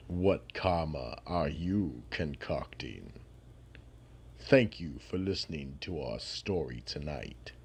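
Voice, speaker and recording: A man narrating and hosting a horror radio drama in an ominous, drawn-out storytelling manner. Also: rumbling low, slight background noise. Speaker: narrator and host.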